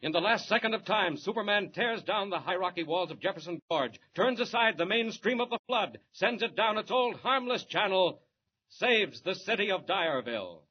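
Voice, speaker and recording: High-pitched, dramatic male radio narrator. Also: animated, normal speed. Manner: dramatic, urgent, declamatory. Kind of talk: 1940s radio serial narration, scripted. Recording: muffled, noisy old radio recording.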